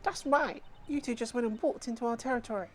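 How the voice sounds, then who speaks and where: A woman's voice: fairly high pitch, fast talker, a little hiss or hum, natural voice. A woman voicing a bossy, cocky little child who guards a gang's territory in a video game. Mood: hesitant, territorial.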